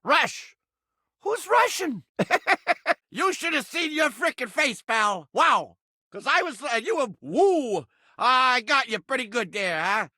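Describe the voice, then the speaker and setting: A man voicing a surly, crusty little mouse trap in a video game, with a strident cartoonish voice and brash, slangy, soft-hearted bluster. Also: very high-pitched, big pitch swings, takes it slowly, dry recording, clean studio sound, full character voice. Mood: flustered bluffing. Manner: brash, yelling, streetwise slangy wisecracking. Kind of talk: video game character acting.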